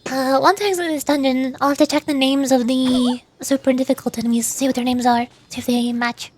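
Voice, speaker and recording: A woman's voice: high-pitched, steady tone, a little fast, a little mellow. A woman livestreaming a game, chatting casually with viewers and tripping over hard words as she reads aloud. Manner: chatty, stumbles over hard names, reading chat aloud. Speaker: VTuber game streamer. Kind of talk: casual livestream chat while gaming. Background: music.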